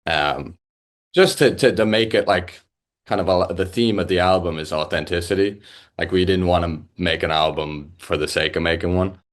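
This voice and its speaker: A young Irish man, a musician guest, chatting casually in an interview with lots of fillers and restarts. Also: sits low. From Ireland. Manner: halting, many fillers and restarts.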